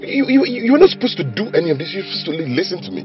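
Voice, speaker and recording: A man's voice: high voice, huge pitch swings, racing. A man playing an exasperated scammer husband in a film drama, emphatic and stammering over repeated words. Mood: exasperated, furious. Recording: muffled lo-fi audio with faint music.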